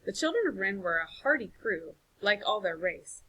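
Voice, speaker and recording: Bright, husky woman reading a story. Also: mid-range voice, big pitch swings, normal speed, rough recording. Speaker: teacher reading aloud. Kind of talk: expressive storybook narration.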